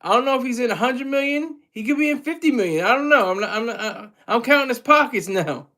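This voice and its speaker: Streamer, male, high-pitched, rambly casual chat with viewers, lots of restarts. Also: animated, fast, sharp tone. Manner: loose, rambling, with frequent restarts and chuckles. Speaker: livestream host reading chat.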